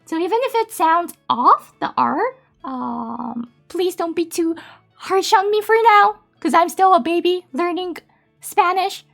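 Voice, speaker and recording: High, bright-voiced woman streamer, Japanese native. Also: a little slow, gravelly, faint music behind. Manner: chatty, giggly, many fillers. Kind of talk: casual live-stream chat.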